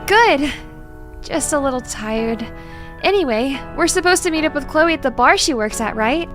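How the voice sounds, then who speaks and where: A woman playing a shy, gentle, bookish young scientist in an audio drama, hesitant and politely awkward in her delivery. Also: high-pitched, animated, moderate pace. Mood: mild, concerned. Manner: hesitant, flustered, polite, wordy. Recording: music under the voice.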